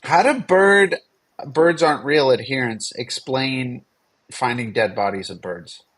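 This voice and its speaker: A man with a husky voice chats casually as a livestream co-host. He is wry and teasing, tossing in comments as banter. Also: mid-range voice, unhurried, fairly bright tone.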